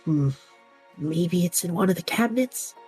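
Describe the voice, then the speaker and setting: A woman's voice: lowish voice, lively intonation, moderate pace, a little mellow, soft background music, close to an everyday voice. A young woman playing an easygoing, impulsive student with a playful, dry manner in a naturalistic audio drama. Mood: casual.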